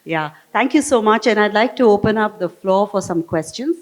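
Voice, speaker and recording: A woman's voice: mid-range voice, animated, moderate pace. A woman with an Indian accent moderating a stage talk in an informal, conversational and digressive way. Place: festival hall stage. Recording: echoey hall with long reverb.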